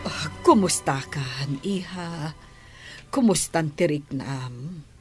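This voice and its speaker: A woman playing a kindly, concerned lady with a husky voice, asking questions in a motherly way in an Ilocano radio drama. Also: low-pitched, expressive, takes it slowly, over music. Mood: gentle concern. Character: kindly, concerned adult woman helping a runaway girl.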